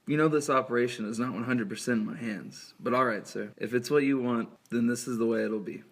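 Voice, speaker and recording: A medium-pitched, sober voice from a man acting an earnest eye surgeon in an amateur film. He is grave and measured with his patients. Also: natural voice. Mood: grave, businesslike. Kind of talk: amateur scripted film dubbing.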